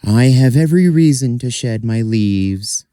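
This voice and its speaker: A man voicing a talking oak tree in a folk tale, measured and reciting. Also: medium pitch, animated, dark tone, smooth, no echo, clean studio sound, pitched above the performer's own voice, lightly acted. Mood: solemn.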